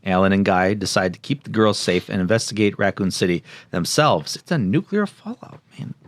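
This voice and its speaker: A man's voice: on the low side, animated, brisk, no room sound. A man co-hosting a podcast, casually reading a plot recap from notes and joking along. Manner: jokey, prone to laughing. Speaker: podcast co-host.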